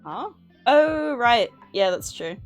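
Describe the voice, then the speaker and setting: A woman's voice: fairly high pitch, sing-song, takes it slowly, faint music behind. A woman hosting a livestream, chatting casually in a nervous, giggly way. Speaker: livestream host. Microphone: streaming microphone at a desk.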